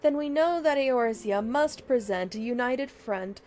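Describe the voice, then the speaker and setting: A woman's voice: a little high, animated, unhurried, darkish tone. A woman livestreamer reading fantasy game dialogue aloud in a dramatic way, with game music behind her.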